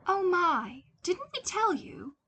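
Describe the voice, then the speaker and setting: Woman acting a prim, commanding rich mother, bright-voiced. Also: very high-pitched, sing-song, slow pace, voice pushed up, full character voice. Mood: airy, commanding. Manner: formal, clipped, airily condescending. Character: prim, coolly commanding wealthy mother of a young boy.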